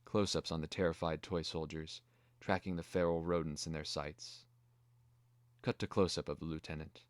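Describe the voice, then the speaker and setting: A man narrating a screenplay as a scripted dramatic reading, in a measured way. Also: very deep voice, level tone, dry recording.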